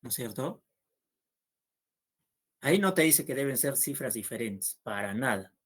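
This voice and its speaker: A man's voice: medium pitch, big pitch swings, a bit bright, breathy edge. A man teaching a math class, working through problems aloud and checking with students as he goes. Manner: slow and patient, checks understanding with "¿no?".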